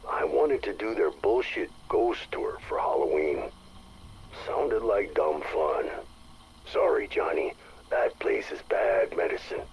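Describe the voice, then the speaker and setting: An actor playing a gruff, uneasy chief of security musing in a game audio log, plainspoken and wry. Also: male voice, on the low side, expressive, slow pace, a little mellow, full character voice. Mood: weary, reflective. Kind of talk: recorded audio log monologue. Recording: noisy audio log tape.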